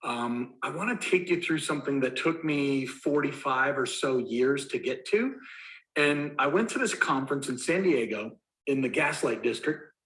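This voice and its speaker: A man's voice: medium pitch, lively intonation, moderate pace, a bit bright. A man in his forties from Colorado giving a motivational talk as a guest speaker, telling a personal story with acted-out moments. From Colorado, USA. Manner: storytelling, acts out the phone call. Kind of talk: motivational talk in a recorded clip. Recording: roomy echo.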